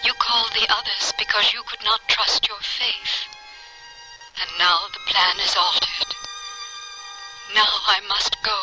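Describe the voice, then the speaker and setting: A tender ghostly wife in a radio drama. Her ethereal voice drifts slowly and swoops dramatically in pitch. Prominent music sits behind her. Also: huge pitch swings, low-quality recording. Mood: gentle reproach. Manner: slow, ethereal, yearning. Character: tender female spirit of a departed wife. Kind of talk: old-time radio drama acting.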